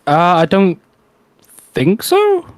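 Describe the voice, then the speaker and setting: A man's voice: high voice, wildly swooping pitch, takes it slowly, no room sound. A man in casual livestream chat, joking in a hesitant, stop-start way. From United Kingdom.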